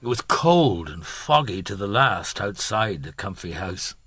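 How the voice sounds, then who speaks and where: Gruff middle-aged detective, weary, husky, lively low delivery, wry radio drama reflection. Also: male voice, measured pace, over music. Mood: grave, regretful. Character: weary, gruff middle-aged male police chief inspector, wryly reflective.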